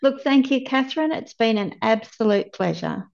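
A woman's voice: fairly high pitch, lively intonation, normal speed, creaky, darkish tone. A woman hosting a podcast, asking questions and thanking her guest appreciatively. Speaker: podcast host. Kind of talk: podcast interview. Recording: dull lo-fi remote recording.

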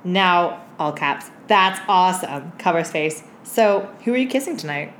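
Giggly woman with swooping pitch, roomy sound. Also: animated, measured pace, a bit bright. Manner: crude, giggly, heavy slang and swearing. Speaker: podcast co-host. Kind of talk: unfiltered comic chat and anecdotes. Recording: roomy echo with background noise.

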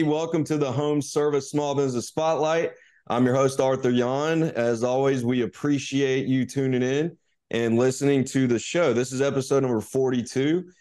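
A man's voice: medium pitch, a little mellow, smooth. A man hosting a business podcast, opening with a scripted upbeat intro and then chatting casually with a guest, with fillers. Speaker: podcast host. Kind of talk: casual interview chat.

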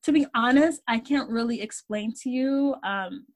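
Podcast co-host, woman in her twenties, relaxed and playful, pitched a little high. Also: moderate pace. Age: 20s. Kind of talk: casual chat.

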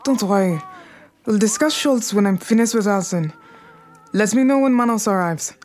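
A woman's voice: medium pitch, normal speed, darkish tone, close to an everyday voice. An actress plays a regal, unbowed queen of the Harlem numbers racket. She speaks formally and gives orders with cool command, slipping into French courtesies. It is audio drama with music behind her. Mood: commanding, composed. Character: imperious, poised Harlem numbers queen, wounded but unbowed.

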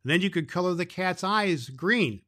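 A man's voice: high voice, animated, moderate pace, pushed and bright, voice pushed up. A man voicing helpful little flower elves in a children's story, eager and sing-song.

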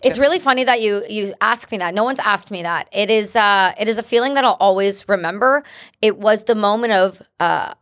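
A woman's voice: mid-range voice, a little fast, a bit bright. Emphatic Canadian woman telling her story. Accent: Canadian English. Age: 30s. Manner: emphatic, vivid and blunt. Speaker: guest, military veteran. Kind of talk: personal storytelling in conversation.

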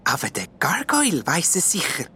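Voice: mid-range voice, wildly swooping pitch, moderate pace, sharp tone, husky, voice pushed up, exaggerated voice. A storyteller voicing a shy purple elf who explains things hesitantly but eagerly.

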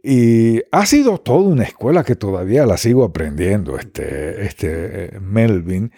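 A Dominican man with a largely neutralized accent speaks at a deliberate, slow pace, pausing often and filling gaps with soft hesitations. His voice is dark and mellow. It is recorded close and dry. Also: on the low side, lively intonation, breathy edge, no room sound. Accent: Dominican Spanish, mostly neutralized. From Dominican Republic. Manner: unhurried, many pauses and fillers. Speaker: radio broadcaster, interview guest. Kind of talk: reflective interview answers.

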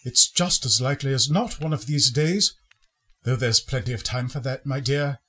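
A man playing a gentle, grieving Victorian father in a radio play, speaking tenderly and earnestly in a husky voice. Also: medium pitch, normal speed. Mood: tender, solemn. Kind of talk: sentimental radio play acting.

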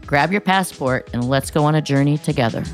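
Low-voiced woman podcast host. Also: brisk, loud music behind the voice. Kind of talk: scripted narration and interviewing.

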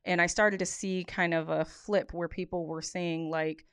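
A woman in her thirties chatting casually as a podcast co-host, animated and opinionated, often acting out what other people say. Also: fairly low pitch, normal speed, smooth, dry recording, studio quality. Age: 30s. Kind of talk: casual conversational chat with some swearing.

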